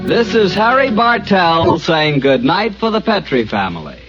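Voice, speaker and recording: A man's voice: high voice, big pitch swings, a little slow. A man announcing an old radio drama, trading lines with the lead and reading the closing credits. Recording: muffled old radio recording, faint music.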